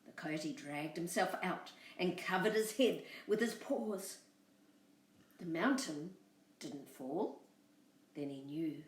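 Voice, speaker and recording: A woman's voice: low-pitched, roomy sound, recorded from a distance, slight background noise. A woman, hosting a story time and reading a storybook expressively to children.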